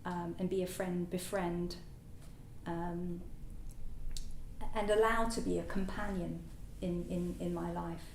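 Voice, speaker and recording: Woman, native French speaker, halting reflective talk, distant mic in an echoey room with faint noise. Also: fairly low pitch, steady tone, gravelly, darkish tone. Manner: hesitant, halting, many fillers and restarts. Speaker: new Buddhist order member. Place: meditation centre hall.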